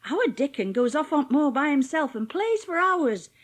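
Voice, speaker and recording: A woman's voice: high-pitched, big pitch swings, normal speed. A woman playing a cheerful, plain-spoken young housemaid with a Yorkshire accent in a dramatised audiobook. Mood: brisk. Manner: chatty, blunt, homely dialect speech.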